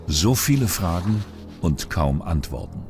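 A man's voice: sits low, big pitch swings, unhurried, creaky, a little mellow. A man narrating a true-crime documentary from a script. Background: music bed.

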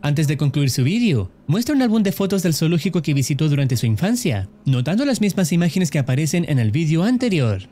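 A man reading scripted narration for a video essay in a formal, measured, explanatory way. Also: a little high, sing-song, mellow, soft background music.

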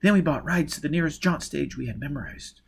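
A man voicing a brusque, irritable woman on the run in an audiobook, impatient and forceful. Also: on the high side, a little fast, gravelly, breathy edge, voice pushed up, heavily acted. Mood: matter-of-fact. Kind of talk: audiobook character voice.

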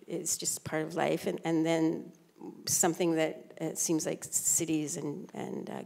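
Slow, gravelly low-voiced woman. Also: darkish tone. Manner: halting, hesitant. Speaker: panelist. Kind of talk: round-table discussion. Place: large dark stage with audience. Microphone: handheld mic.

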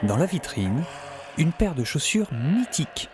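A young man narrating an audio drama in the first person as an anxious, wry fugitive, confiding and sometimes breathy. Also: on the high side, sing-song, measured pace. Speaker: narrator-protagonist. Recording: loud music under the voice. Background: music.

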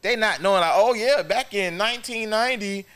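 A young American man with a fairly high, bright voice. He speaks close to a studio mic in casual, slangy podcast banter. His manner is challenging, pushing back on points. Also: brisk, clean tone. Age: young adult. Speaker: podcast host. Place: small podcast studio, indoors. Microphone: close boom-arm microphone.

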